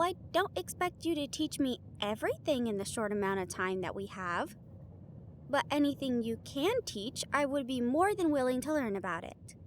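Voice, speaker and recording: A woman's voice: sits high, slight background noise. A woman playing an earnest, studious, slightly bossy young woman in a scripted audio roleplay, speaking in an organized, teasing, formal way. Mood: eager, proud.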